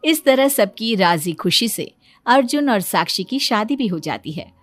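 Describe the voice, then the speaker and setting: A woman's voice: medium pitch, animated, unhurried, no echo. A woman narrator reading a scripted moral story. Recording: music behind the voice.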